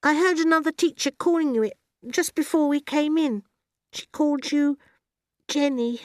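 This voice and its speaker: A woman voicing a bright, earnest five-year-old schoolgirl in a storybook, shy, polite and careful with her words. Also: sits high, normal speed, no room sound, clean studio sound, voice pushed up, natural voice. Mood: hesitant, shy.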